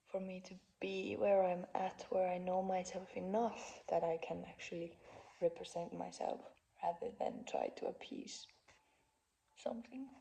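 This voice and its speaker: A woman in her twenties with a Finnish accent, talking in a soft, halting, unscripted vlog monologue from a couch at home. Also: mid-range voice, gravelly, rough recording. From Finland. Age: 20s. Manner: soft-spoken, halting, frequent fillers. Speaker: vlogger. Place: parents' living room couch.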